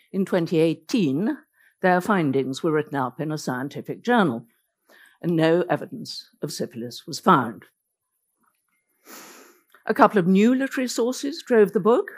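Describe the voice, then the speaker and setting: A woman whose first language is Norwegian, giving an illustrated lecture as a biographer, telling the story vividly with wry asides. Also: lowish voice.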